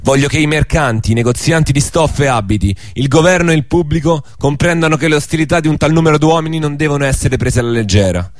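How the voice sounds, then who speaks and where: A man's voice: a little high, dry recording, natural voice. Man acting a stern, defiant rebel leader, close dry sound. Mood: stern threat. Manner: stern, declamatory, deliberate. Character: defiant male rebel leader reading out a threatening manifesto. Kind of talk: radio drama monologue.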